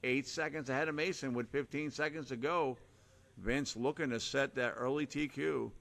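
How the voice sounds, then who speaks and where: A man calling a live RC car race with a hoarse, fading voice, rattling off names and lap times in short bursts. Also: mid-range voice, fairly even intonation, normal speed, a bit bright. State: hoarse, losing his voice. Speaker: race announcer.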